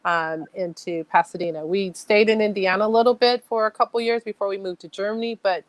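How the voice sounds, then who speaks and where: A woman's voice: medium pitch, steady tone, fairly quick, no room sound. A woman from Thailand, a chef, talking as a podcast guest in a friendly way and laughing often. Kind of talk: casual interview chat.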